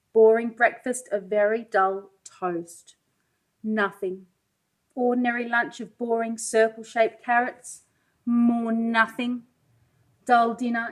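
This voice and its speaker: A woman reading a children's storybook aloud like a pre-school teacher, expressive and sing-song, pausing to put questions to young listeners. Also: a little high.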